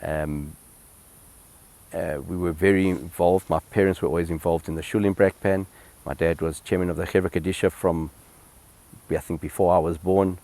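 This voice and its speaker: A man's voice: sits low, fairly even intonation, normal speed, dark tone. A middle-aged man, telling his family history in an interview at home, with many ums and restarts. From South Africa. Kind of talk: relaxed personal reminiscence.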